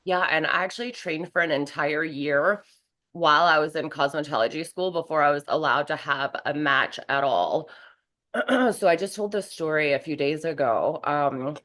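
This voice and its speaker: A woman from North Carolina, hoarse from a cold, chatting as a guest in an interview with lots of fillers. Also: deep voice, moderate pace, fairly bright tone. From North Carolina, USA. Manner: chatty, rambling, many fillers. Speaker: guest, professional wrestler. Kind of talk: casual interview conversation.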